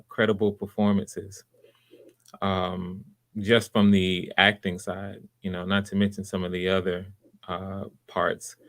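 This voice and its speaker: Man, lowish voice, encouraging, conversational, casual livestream host. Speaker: host and casting director of live auditions. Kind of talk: casual livestream feedback and hosting. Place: live video call stream.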